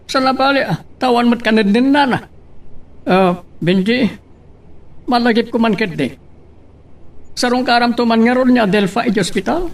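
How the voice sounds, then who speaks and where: A man playing a well-off businessman and father in an Ilocano audio drama, brisk and commanding. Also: extremely high pitch. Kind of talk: Ilocano radio-style drama acting.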